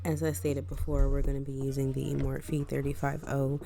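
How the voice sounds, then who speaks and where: A woman's voice: very deep voice, monotone, moderate pace, vocal fry, dark tone. A woman hosting a casual makeup tutorial, chatty and rambling with asides, her voice a bit congested and scratchy from a cold. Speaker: beauty vlogger.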